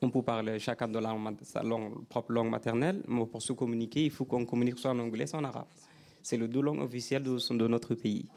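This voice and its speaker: A man from Sudan, an author whose first language is a Sudanese language, speaking in halting, non-native French on a panel. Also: medium pitch, level tone, vocal fry, a little mellow. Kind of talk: panel discussion.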